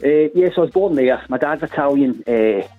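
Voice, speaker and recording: A man with a Scottish accent calling in to a radio show, polite, brisk and upbeat. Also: a little high, animated, soft background music. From Scotland. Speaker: caller. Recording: tinny phone line.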